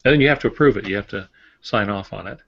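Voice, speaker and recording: Older guy on a podcast, lowish voice, matter of fact, slightly muffled recording. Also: fast talker, gravelly. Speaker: novelist and podcast co-host. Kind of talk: casual podcast chat. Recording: dull lo-fi audio.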